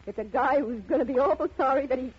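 An actress playing a brash, slangy, tough-talking dame in an old radio crime drama, defiant and scolding. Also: female voice, high-pitched, quick pace, a little hiss or hum, lightly acted. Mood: sly, taunting. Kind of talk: 1950s radio drama acting. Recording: dull old radio recording.

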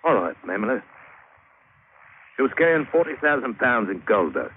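A man's voice: mid-range voice, sing-song, moderate pace, phone-quality sound, lightly acted. A man acting a plain-spoken British sailor who spins a wry adventure yarn in colloquial, dramatic 1950s radio style. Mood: wary, blustering. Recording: thin, hissy old radio broadcast.

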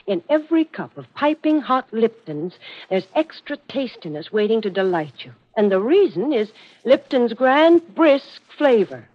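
A woman delivering a polished, scripted sponsor pitch in old-time radio advertising style. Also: very animated, a little slow. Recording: dull lo-fi 1940s radio recording.

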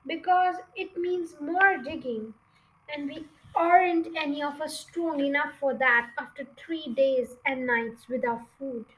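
A woman's voice: high-pitched, a little slow, a bit bright, low-quality recording. A woman playing a proud, careful and weary father fox in a storybook. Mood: hesitant, weary.

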